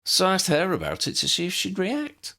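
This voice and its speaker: A man's voice: sits high, wildly swooping pitch, a little fast, creaky, bright, forceful tone, pitched above the performer's own voice, full character voice. A man voicing an earnest, modest, perceptive young female sleuth in an audiobook, explaining plainly.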